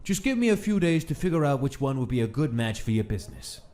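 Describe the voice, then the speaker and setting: A man's voice: medium pitch, very animated, quick pace, darkish tone, lightly acted. A male actor playing a pompous, commanding old mafia boss full of disdain in a comic dub. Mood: haughty, commanding. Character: haughty old human mafia don, contemptuous. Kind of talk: comic dub character acting.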